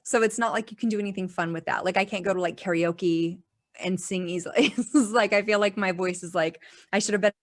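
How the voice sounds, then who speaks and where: A woman's voice: mid-range voice, steady tone, brisk. Woman guest on a podcast, chatty, lots of likes and laughs. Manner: chatty, laughs often, many "like" fillers. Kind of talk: casual podcast interview.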